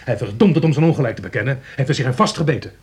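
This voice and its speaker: A man's voice: medium pitch, animated, rapid-fire, close to an everyday voice. An actor in a radio play playing an indignant, sceptical man who blusters and scolds his reckless friend. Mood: indignant, scolding.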